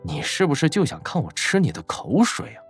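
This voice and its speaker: An actor playing a young university student boss in an audio drama, casual, teasing and a little exasperated. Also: male voice, a little high, huge pitch swings, a little fast, creaky, faint music behind, heavily acted. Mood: teasing, dry.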